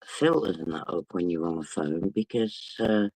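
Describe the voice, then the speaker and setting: A man's voice: sits low, animated, moderate pace, gravelly, a little mellow, no room sound, rough recording. A man from England with a British accent, casually chatting with viewers on a late-night phone stream because he can't sleep. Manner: chatty, greets viewers by name. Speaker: livestream host.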